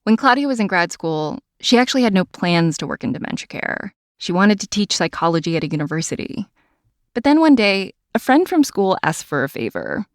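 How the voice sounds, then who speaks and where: A woman hosting a podcast, telling the story in scripted narration with an expressive delivery. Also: medium pitch, fairly quick, dry recording, studio quality. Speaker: podcast host.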